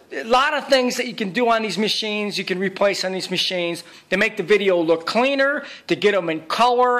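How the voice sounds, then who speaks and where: Guy w a pretty high voice doing a repair tutorial, emphatic, kinda bragging. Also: fairly bright tone. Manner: boastful, emphatic. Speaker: video repair technician. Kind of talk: informal how-to tutorial narration.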